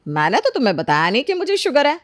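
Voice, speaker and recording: A woman's voice: high-pitched, huge pitch swings, fast talker, no room sound, studio quality, pitched above the performer's own voice. A woman voicing a harsh, scornful middle-aged mother-in-law who scolds and orders her maid about in a cartoon story. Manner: scolding, commanding, sarcastic. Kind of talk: Hindi cartoon story character dialogue.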